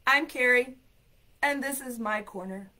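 A woman hosts a livestream from her home room through a webcam. Her slightly high voice is raspy from a cold, and she talks in an animated, chatty, playful way, telling stories to her viewers. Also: measured pace. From United States. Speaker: ESL program coordinator and show host. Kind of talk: livestream talk and storytelling. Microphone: laptop webcam, video call framing.

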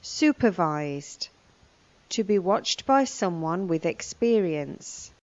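A woman's voice: lowish voice, lively intonation, takes it slowly, a little mellow, no room sound, lo-fi sound. A woman giving scripted lesson narration, reading vocabulary and a news report. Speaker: language lesson presenter.

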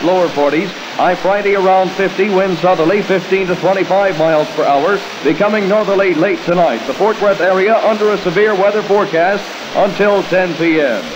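An American man reads radio news and weather in a formal announcer's delivery. His voice sits fairly high for a man, and the old AM broadcast recording carries clear noise throughout. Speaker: radio newscaster. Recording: noisy 1960s AM radio aircheck.

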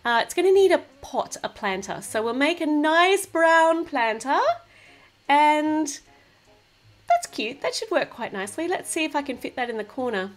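A woman's voice: high voice, animated, normal speed. A woman hosting a craft channel, chatting cheerfully to viewers as she narrates a toy build.

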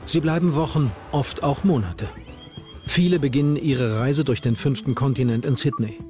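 A man's voice: medium pitch, normal speed, darkish tone. A man reading scripted narration for a true-crime documentary. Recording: narration over background music.

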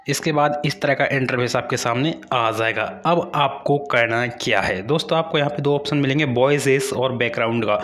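A man's voice: medium pitch, fairly bright tone. An Indian man hosting a tech channel, giving a step-by-step app tutorial in voice-over and addressing viewers directly as friends.

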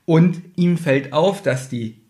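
Man, slightly high voice, casual talker. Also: takes it slowly, mellow. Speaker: podcast co-host. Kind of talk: casual chat, retelling and critiquing a story.

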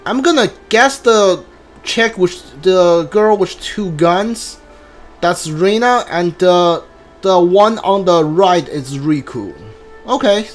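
A man's voice: high voice, lively intonation, slow pace, faint music behind. A man giving casual, rambling live game commentary, hesitant and thinking aloud. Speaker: streamer playing a game blind. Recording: clearly noisy background.

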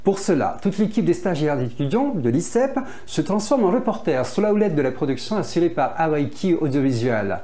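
A man's voice: fairly high pitch, sing-song, a little fast, hardly any pauses, warm tone. A man in his thirties presenting a magazine show to camera in a scripted style. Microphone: lapel mic.

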